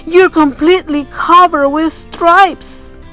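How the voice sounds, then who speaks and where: A woman's voice: very high-pitched, fairly even intonation, takes it slowly, bright, forceful tone, soft background music, pitched above the performer's own voice, full character voice. A woman voicing an alarmed, bossy storybook mother who fusses and exclaims. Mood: shocked. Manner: exclaiming, fussing.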